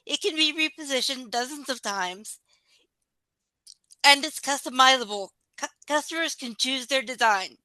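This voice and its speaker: An actress playing an inventive, business-savvy entrepreneur in an audio play, with a polished sales-pitch delivery. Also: female voice, normal speed, bright, forceful tone, no room sound, rough recording. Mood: eager, persuasive.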